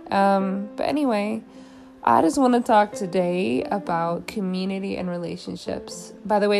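A young woman hosting her first podcast late at night, musing aloud in a tired voice and rambling with many fillers and restarts. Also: medium pitch. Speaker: podcast host. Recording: clear music playing behind.

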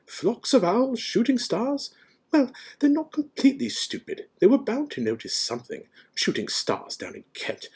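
A man voicing a stern, severe older witch professor in an audiobook, prim and impatient, with sharp, clipped, indignant delivery. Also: high voice, sing-song, normal speed, creaky, husky, pitched above the performer's own voice, heavily acted. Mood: irritated, impatient. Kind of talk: audiobook character voice.

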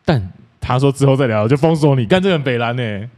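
A man's voice: medium pitch, expressive, a little fast, darkish tone. A Taiwanese man hosting a podcast, chatting casually and asking probing, playful questions. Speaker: podcast host. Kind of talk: casual, teasing interview chat.

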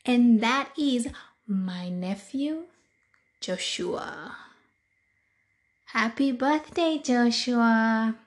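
A Kenyan woman in her thirties, a little hoarse, hosting a casual solo podcast and chatting slowly with many pauses and fillers. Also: on the high side. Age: 30s. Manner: slow, deliberate, chatty with frequent fillers. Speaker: podcast host.

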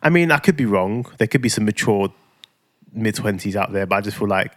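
A man's voice: fairly low pitch, animated, fairly quick, a bit bright. A man, co-hosting a casual podcast chat, musing in a laid-back way with lots of restarts. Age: 30s. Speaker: podcast co-host.

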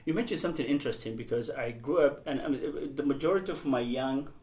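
A man's voice: medium pitch, fast talker. Man hosting a podcast interview, echoey. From Somalia. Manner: warm affirming backchannels. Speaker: podcast host. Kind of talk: casual interview.

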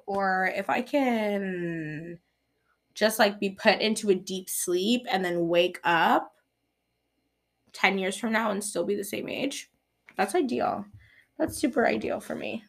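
A young woman in her twenties hosts a podcast on her own, talking in a loose, playful ramble. She jokes around, laughs at her own lines, and speaks in a middle-pitched voice. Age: 20s. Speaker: podcast host. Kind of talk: solo podcast chat.

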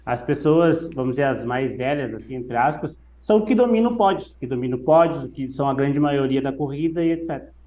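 Explaining man with a mellow voice. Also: mid-range voice, expressive, a little fast, hardly any pauses. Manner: explanatory, repeats himself for emphasis. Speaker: running coach, podcast co-host. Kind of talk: casual podcast discussion.

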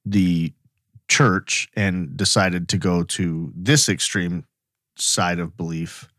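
A man's voice: sits low, steady tone, slow, a bit bright, dry recording, studio quality. A man co-hosting a podcast in casual conversation, musing aloud and asking questions. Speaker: podcast co-host.

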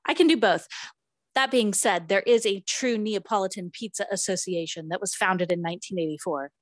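A woman's voice: mid-range voice, fairly quick, no echo. A woman co-hosting a casual podcast, talking in a chatty way with many fillers and restarts. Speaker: podcast co-host. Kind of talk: casual chat.

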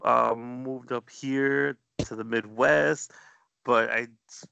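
A man's voice: medium pitch, fairly even intonation, slow, gravelly, bright, forceful tone, clear, resonant tone, no echo, lo-fi sound. A man from the southern United States, co-hosting a podcast in casual chat, rambling as he searches for words. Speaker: podcast co-host.

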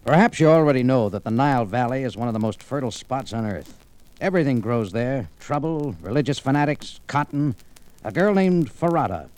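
An actor playing a hardboiled American adventurer, narrating and talking tersely and wryly in 1940s radio noir style. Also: male voice, mid-range voice, animated, fairly quick, a little mellow, lightly acted, poor audio. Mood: wry narration. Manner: terse, world-weary, wry.

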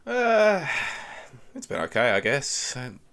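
A man co-hosting a casual podcast, with a calm, monotone voice and dry, deadpan humour. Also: medium pitch, takes it slowly, slight background noise. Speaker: podcast co-host.

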